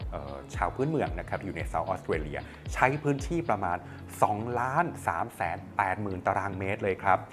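A man, presenting a news report in a conversational, explanatory way on a lapel mic in a studio. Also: low voice. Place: small indoor studio at a desk. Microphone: clip-on lapel mic. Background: background music.

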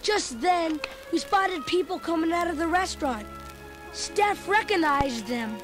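A chubby, food-loving boy narrates in a very high voice, chatty and full of comic asides. The telling is scripted storybook narration. Music runs underneath throughout. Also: expressive, measured pace.

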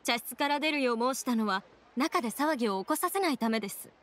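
An actress playing a polite, composed young teahouse attendant in a Japanese video game, formal and deferential. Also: female voice, a little high, expressive, fast talker, a bit bright. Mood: polite firmness.

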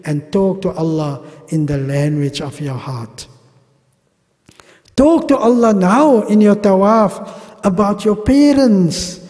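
A middle-aged man with a South African accent teaches a religious class in a large mosque hall, speaking slowly into a podium mic. His voice sits high but mellow, and the long reverb of the hall trails behind each phrase. He is animated, gesturing widely and slipping in humorous asides. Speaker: sheikh teaching a pilgrimage class. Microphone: gooseneck podium mic.

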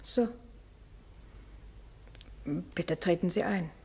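Radio drama role: ageing spinster lady, wary and curt, mellow dark tone. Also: lowish voice, slightly rough, heavily acted. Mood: wary, reserved. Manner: curt, measured. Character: wary, reserved ageing spinster of a Venetian house. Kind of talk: German radio drama acting.

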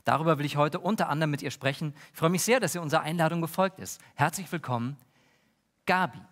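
A man in his thirties playing an earnest, polite talk-show host with a formal, measured manner. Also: a little high, a bit bright, lightly acted. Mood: welcoming. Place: large brick-walled indoor stage. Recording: reverberant theatre hall.

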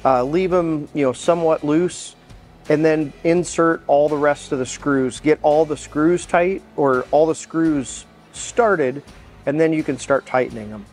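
A man's voice: fairly high pitch, a little slow, a little mellow, soft background music. A middle-aged man with a North American accent gives informal, step-by-step hands-on instruction. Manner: explanatory, step-by-step. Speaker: mechanic instructor. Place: large indoor workshop.

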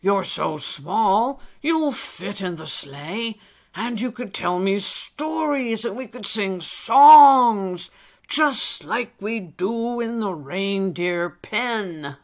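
A woman storyteller plays a kindly old elf man, big-hearted and jolly. The delivery is hearty and stretched right out, with gravel in the voice and lively swings of pitch. It belongs in a children's Christmas storybook. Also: very slow. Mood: jolly, inviting.

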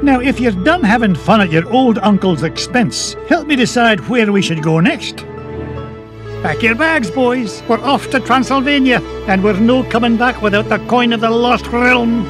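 A miserly old Scottish duck adventurer for a cartoon game. The voice sits high for a man and rasps with spirit. Music plays clearly behind it. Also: animated, normal speed. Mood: proud, brisk. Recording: game cutscene with music underneath.

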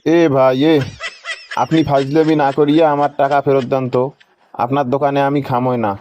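A man's voice: medium pitch, steady tone, moderate pace, darkish tone, muffled recording, close to an everyday voice. A man with a Rangpur Bangladeshi accent voicing a hungry, exasperated phone-company worker who complains bluntly in a comic cartoon.

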